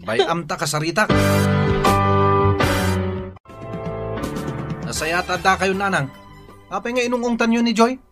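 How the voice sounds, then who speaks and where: A man's voice: high-pitched, fairly even intonation, a little fast, over loud music, natural voice. A man playing an idle, defensive young boyfriend in a radio drama, with an Ilocano Filipino accent.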